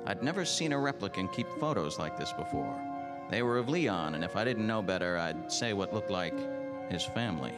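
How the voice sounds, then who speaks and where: A man's voice: low voice, animated, brisk. Man acting a hardboiled American noir detective over music. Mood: weary, brooding. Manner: terse, slangy, hardboiled delivery. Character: hardboiled, world-weary male noir detective narrator. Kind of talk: 1940s radio noir acting, narration and dialogue. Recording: music bed under radio drama.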